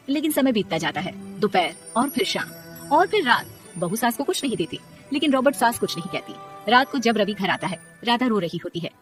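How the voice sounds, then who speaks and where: Lady reading a story, dramatic, lively swoopy voice, bit of background music. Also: fairly high pitch, normal speed. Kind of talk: scripted story narration.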